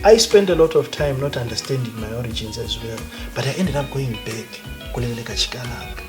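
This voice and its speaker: A man's voice: mid-range voice, fast talker. Passionate Zimbabwean man, music under. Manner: emphatic, passionate, mixes in Ndebele phrases. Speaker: guest, academic economist. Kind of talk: conversational interview. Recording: background music.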